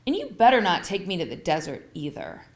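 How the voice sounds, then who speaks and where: A woman's voice: fairly low pitch, wildly swooping pitch, a little fast. A woman voicing a stubborn, wry elderly mother in a story read aloud. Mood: stubborn refusal. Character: stubborn, wry elderly mother who gets the last word. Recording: echoey, roomy sound.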